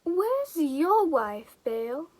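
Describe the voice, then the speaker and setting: A woman's voice: sits high, very animated, a little mellow, full character voice. A woman with an American accent playing a plain-spoken, opinionated small-town farm parent in an amateur play. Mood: folksy, opinionated. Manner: unhurried, folksy. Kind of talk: amateur stage-play acting.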